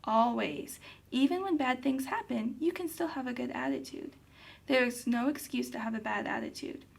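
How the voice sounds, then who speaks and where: A woman plays a puppet mother with a high voice and a marked creak in it. She is patient yet firm, admonishing and instructing in the manner of a children's puppet show. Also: moderate pace, full character voice. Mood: firm, instructive.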